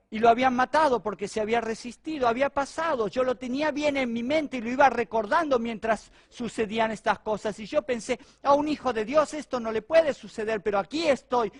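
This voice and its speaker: A middle-aged Argentine man, a pastor, telling a personal testimony during a sermon in a lively, conversational way. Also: very high voice, a little fast, dry recording, clean studio sound. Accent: Argentine Spanish. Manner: animated, conversational storytelling to a congregation.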